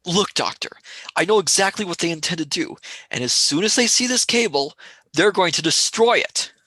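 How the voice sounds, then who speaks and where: A man plays a worried young man in a sci-fi radio drama. His voice is bright, tense and close-recorded, with blunt, impatient delivery. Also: high-pitched, lively intonation, moderate pace, no room sound, exaggerated voice. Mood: agitated. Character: agitated, impatient young man space traveller. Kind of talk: sci-fi radio play acting.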